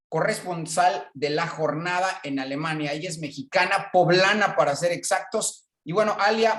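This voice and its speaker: A man's voice: a little high, echoey room. A man hosting a radio interview, talking in a rambling way with many fillers. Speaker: radio host.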